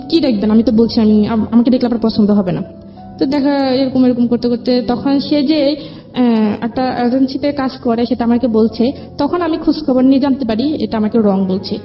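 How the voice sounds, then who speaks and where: A woman with a Bangladeshi accent telling her own life story as an interview guest. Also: fairly high pitch. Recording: dull lo-fi audio, music underneath. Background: background music.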